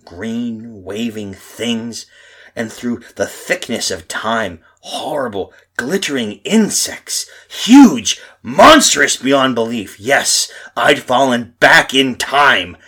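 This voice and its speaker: A man's voice: mid-range voice, pushed and bright, slightly rough, heavily acted. A man acting a frantic, disbelieving husband lost in a strange past, stammering and repeating himself in a 1930s horror radio play style. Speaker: actor and narrator in a radio drama.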